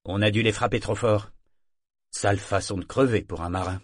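Un homme lisant à voix haute qui joue un vieux loup de mer qui constate froidement les morts. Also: male voice, on the low side, normal speed, dry recording, clean studio sound, natural voice. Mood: grim.

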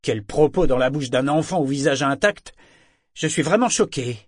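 A man voicing a small, agile slave broker with a gravelly voice and biting false politeness, speaking fast, in an audiobook. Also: medium pitch, no echo, studio quality, natural voice. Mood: mocking. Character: small agile male slave broker, mock-affable and cruel. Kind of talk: audiobook character reading.

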